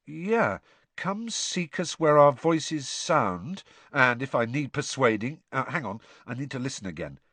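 Brief: indignant schoolboy, higher than the narrator, lively, put on by a male reader. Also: measured pace, no room sound, heavily acted. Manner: flustered, indignant.

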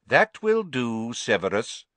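A man voicing a calm, quietly amused old male headmaster who speaks in a measured way. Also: mid-range voice, dry recording. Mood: quiet firmness.

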